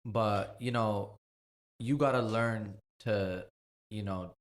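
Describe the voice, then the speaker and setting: Very deep-voiced male musician guest. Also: fairly even intonation, slow. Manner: earnest, explaining, often adds "right?". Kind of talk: casual interview chat.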